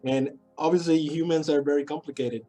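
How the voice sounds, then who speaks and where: A man's voice: fairly high pitch, steady tone, a little fast, dark tone, faint music behind. A middle-aged man from Puerto Rico, a sociology professor talking as a guest in a casual interview, thoughtful and hesitant with many restarts.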